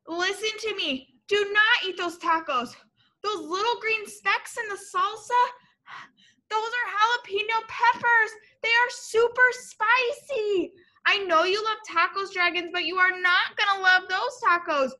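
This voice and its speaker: A young woman reading a picture book to children as a teacher, in an expressive, exaggerated way, often addressing her young listeners with questions. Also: extremely high pitch. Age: young adult. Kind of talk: animated children's story read-aloud. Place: classroom, indoors.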